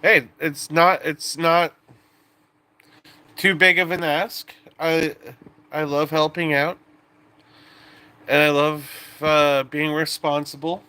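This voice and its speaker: A man in his twenties talks at a slow, easy pace on his stream, rambling, restarting his sentences and cracking jokes. His voice sits a little high and sounds bright and crisp. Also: level tone. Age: 20s. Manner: rambling, self-interrupting, joking. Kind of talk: casual live-stream chat.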